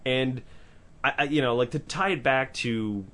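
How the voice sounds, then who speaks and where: A man with a powerful voice co-hosting a podcast in casual discussion, talking in an animated way with swearing, restarts and asides. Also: mid-range voice, normal speed. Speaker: podcast co-host.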